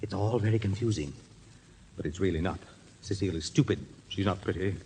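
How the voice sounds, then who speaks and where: Actor as a low-voiced, brooding, unstable gentleman. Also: fairly quick, close to an everyday voice. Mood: bitter, agitated. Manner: intense, rambling, bitter. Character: brooding, unstable, possessive older brother, proud gentleman. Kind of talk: 1940s radio drama acting. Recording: faintly noisy old radio recording.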